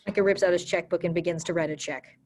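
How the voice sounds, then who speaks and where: Woman narrator, very low pitch, scripted stage directions, play reading. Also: steady tone, fast talker, a little mellow.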